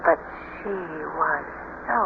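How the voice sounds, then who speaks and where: A woman playing a tender, inquisitive, easily frightened new bride in an expressive, emotional radio drama style. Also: low voice, takes it slowly, creaky, a little hiss or hum, full character voice. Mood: wistful, wondering. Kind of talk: 1970s radio drama acting. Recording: narrow-band old radio broadcast with music.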